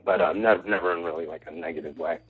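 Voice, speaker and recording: A man's voice: sits low, steady tone, fast talker, slightly rough, faint music behind. A man who works as a chef, talking about his career in an easygoing, rambling interview manner. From Costa Rica. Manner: easygoing, rambling, many fillers. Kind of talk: relaxed conversational interview. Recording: tinny phone-like line.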